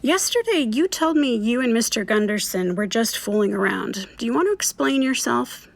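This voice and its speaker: An actress playing a composed, coolly knowing schoolteacher with a formal, coy manner in an audio drama. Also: female voice, on the high side, expressive, normal speed, creaky, slight background noise.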